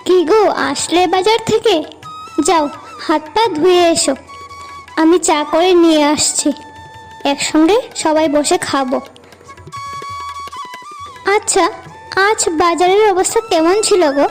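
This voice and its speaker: Young village wife for a cartoon, female performer: tiny high voice, attentive, resourceful, caring. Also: a little slow, pauses often, faint music behind, rough recording. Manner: practical, attentive.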